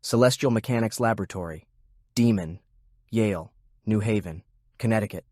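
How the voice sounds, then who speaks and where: A man reading scripted fiction narration in a measured, deliberate way. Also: lowish voice, dark tone, no echo, clean studio sound. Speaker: audiobook narrator. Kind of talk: scripted narration of a fantasy war novel.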